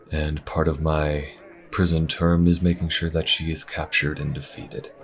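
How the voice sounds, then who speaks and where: A man playing a weary, easygoing inquisitor in a fantasy audio roleplay, chatting in a wry, conversational way with musing pauses. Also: full character voice. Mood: earnest, casual. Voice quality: very deep, gravelly. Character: weary, easygoing adult male Inquisition agent and adventurer. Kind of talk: fantasy audio roleplay, in-character small talk to the listener.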